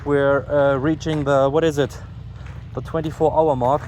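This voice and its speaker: A man's voice: fairly high pitch, little pitch movement, measured pace, darkish tone. A sleep-deprived man with a German accent rambles frankly and casually into his phone while walking outdoors, swearing and putting himself down. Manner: frank, swearing, self-deprecating. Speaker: vlogger. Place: outdoors, walking along a street. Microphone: phone recording while walking. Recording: outdoor street and traffic noise. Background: ambient outdoor noise with passing vehicles.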